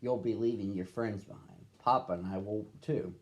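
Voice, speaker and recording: Man reading as a gentle storybook mama bear. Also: fairly low pitch, moderate pace, close to an everyday voice. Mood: comforting. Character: mother bear, gentle, practical, comforting.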